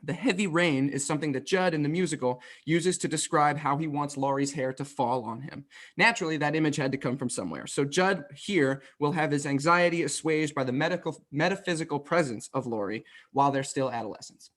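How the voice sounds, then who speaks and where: A man's voice: on the high side, brisk, fairly bright tone. A man presenting play excerpts with a formal, explanatory introduction.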